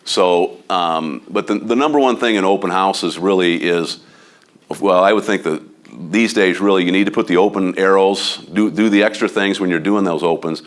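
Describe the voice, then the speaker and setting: Loud, blunt Midwestern man with a deep voice. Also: normal speed. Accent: Midwestern American. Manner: loud, overbearing, blunt and animated. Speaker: real estate agent giving a training talk. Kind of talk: informal training talk to a room of agents. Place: office meeting room.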